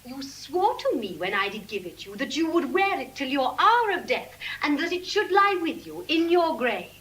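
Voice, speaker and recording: Sharp-tongued waiting-woman, Shakespearean comedy, pert, theatrical, highish pitch, very varied intonation. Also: sing-song, moderate pace, poor audio. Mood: scolding, mocking. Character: Nerissa, sharp-tongued spirited waiting-woman and young wife. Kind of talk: classical Shakespearean comic acting.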